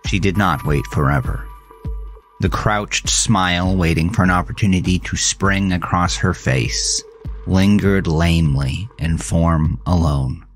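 A man narrating a scripted horror thriller in a dramatic, deliberate manner. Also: deep voice, faint music behind.

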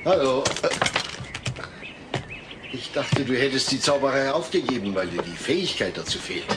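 A man's voice: mid-range voice, sing-song, normal speed, music in the background, close to an everyday voice. An actor playing a patient, middle-aged family father in a comedic radio play, polite and dryly ironic. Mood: polite, wary. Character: dry, patient, long-suffering suburban family father. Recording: noisy old cassette transfer.

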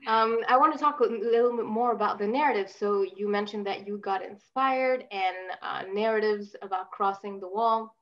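A woman, a festival programmer, moderating a video call Q&A in a measured way with frequent fillers. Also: medium pitch. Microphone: laptop webcam. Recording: dull lo-fi call audio.

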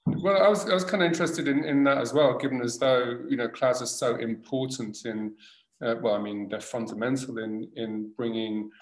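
A man's voice: medium pitch, steady tone, moderate pace. Guy in his 50s on a webcam call from his living room, keeps restarting sentences, echoey room sound. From born in Tunisia, grew up in England. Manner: hesitant, with many restarts and fillers. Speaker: host, designer. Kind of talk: casual video-call interview. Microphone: laptop webcam on a video call. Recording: roomy video-call audio.

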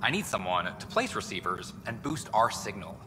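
A weary but eager reporter in a space game, pitching his work with quick enthusiasm. A long reverb hangs on his voice, and a clear ambient hum runs behind. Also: big pitch swings. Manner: eager, fast-talking, a little weary. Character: tired, eager male news reporter. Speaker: news reporter.

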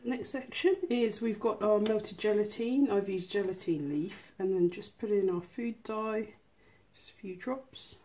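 A woman's voice: medium pitch, a little slow, dark tone, slight background noise. A woman hosting a casual home cooking tutorial, explaining each step in an informal, chatty way. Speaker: host of a miniature cooking channel.

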